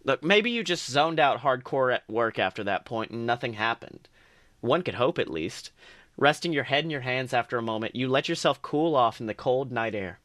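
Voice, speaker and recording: A man's voice: medium pitch, animated, moderate pace, a bit bright, dry recording, clean studio sound. A man co-hosting a podcast, reading second-person fan fiction aloud earnestly, with small stumbles and restarts.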